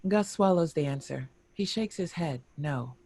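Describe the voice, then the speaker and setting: A man reading scripted screenplay stage directions in a measured, descriptive narration. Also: on the high side, lively intonation, darkish tone, no echo.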